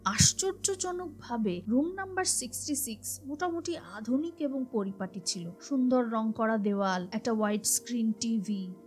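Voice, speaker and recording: A woman's voice: a little high, moderate pace. A woman narrating a scripted horror story in a dramatic storytelling style. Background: music behind the voice.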